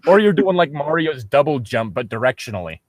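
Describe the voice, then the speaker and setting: A man's voice: medium pitch, big pitch swings, fairly quick, dry recording, studio quality. A young man mockingly reading fan fiction aloud and breaking off for sarcastic, sweary commentary. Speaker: reader and co-host.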